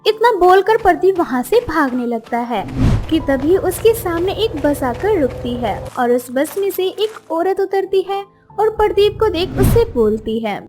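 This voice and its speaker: A woman narrating a cartoon story in an expressive, scripted storytelling voice. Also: extremely high pitch, measured pace, faint music behind.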